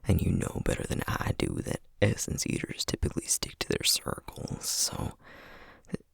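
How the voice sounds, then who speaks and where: A man playing a gentle, burnt-out sorcerer dad with a Southern American accent in a whispered ASMR roleplay, halting and full of self-doubt. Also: no echo, studio quality, natural voice. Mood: weary, explaining. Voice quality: hushed, breathy whisper. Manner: halting, confiding, with sighs and trailing pauses.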